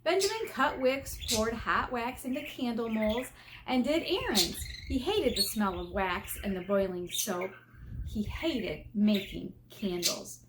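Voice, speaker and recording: American female elementary teacher for children's read-aloud, higher-pitched, very animated, expressive teacherly delivery. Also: unhurried, noisy background. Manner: expressive, teacherly, emphasizes and repeats key words, questions listeners. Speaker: elementary teacher reading a picture book aloud.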